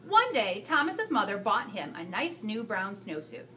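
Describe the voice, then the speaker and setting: A woman in her thirties reading a picture book aloud to children, with expressive, drawn-out emphasis. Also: medium pitch, a little hiss or hum. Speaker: school staff storyteller reading to children. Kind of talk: animated children's read-aloud.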